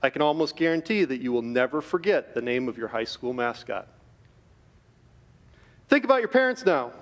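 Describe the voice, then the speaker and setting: A middle-aged man giving an earnest, measured chapel devotion to students from a podium in a school auditorium. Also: a little high. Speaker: chapel speaker. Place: large school auditorium stage. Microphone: podium microphone. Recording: reverberant auditorium.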